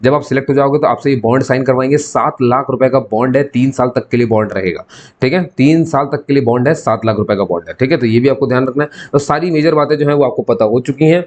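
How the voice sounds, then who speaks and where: A man's voice: medium pitch, quick pace, darkish tone. A man giving an informal explainer to viewers as a channel host, instructive, repeating key points and posing questions that he then answers.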